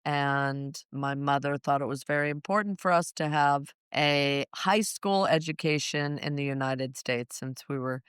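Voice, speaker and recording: A middle-aged woman yoga teacher answering interview questions in a relaxed, playful way. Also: low voice, unhurried, few pauses, smooth, no echo, studio quality.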